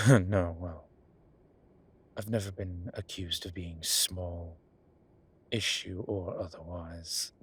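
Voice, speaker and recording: A man voicing a flirtatious, smitten demon professor in an intimate audio roleplay, husky and breathy, with halting, wry phrasing. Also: sits low, heavily acted. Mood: playful, flirtatious. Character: teasing, smitten male incubus demon professor. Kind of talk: intimate one-sided audio roleplay acting.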